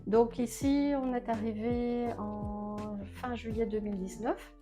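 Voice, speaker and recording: A woman's voice: a little high, fairly even intonation, unhurried, music in the background. A woman who grew up in Belgium, showing her home in a casual interview and talking with many hesitant filler sounds. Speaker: homeowner giving a home tour.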